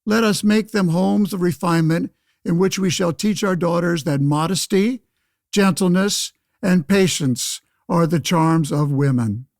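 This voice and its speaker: A man acts out a 1911 senator for a radio play, a self-righteous windbag with a rather high voice. He orates in formal, old-fashioned phrases, pompous and sure of himself. The sound is very dry. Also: a little slow, darkish tone, no echo. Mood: grandiose, preachy. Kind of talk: pseudo-radio play interview and oratory.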